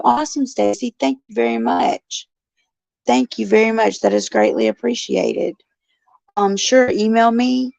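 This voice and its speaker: A woman hosting her show with a raspy, gruff voice, chatting in a folksy way and answering viewers by name from a care facility. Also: medium pitch, darkish tone, no room sound. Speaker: show host. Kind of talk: casual chat, answering viewers. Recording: dull lo-fi, dry sound.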